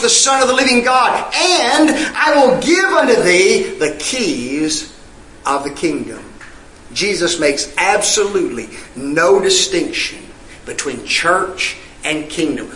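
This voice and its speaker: A man's voice: high-pitched, bright, forceful tone, roomy sound, slight background noise, poor audio. A male preacher, delivering an emphatic sermon full of rhetorical questions and scripture read in old-fashioned wording. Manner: reads scripture in King James wording.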